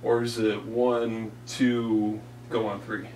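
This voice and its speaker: A young man co-hosting a comedy sketch, playful with deadpan asides, recorded in an indoor studio. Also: on the low side, slow pace. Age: young adult. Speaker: show co-host. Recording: echoey room, distant mic, background noise.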